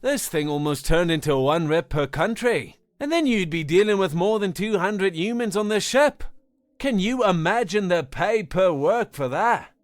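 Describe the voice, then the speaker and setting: A man voicing a laid-back, cheerful Australian man with a long drawl, loud and jovial. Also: sits high, expressive, moderate pace, dry recording, clean studio sound, voice pushed up. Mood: amused.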